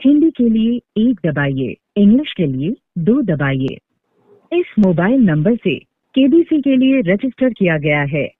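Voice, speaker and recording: A woman announcer giving formal, measured, scripted menu instructions over a telephone line. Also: mid-range voice, big pitch swings, dry recording. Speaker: automated phone line announcer. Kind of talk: scripted IVR menu prompts. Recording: tinny recorded phone call.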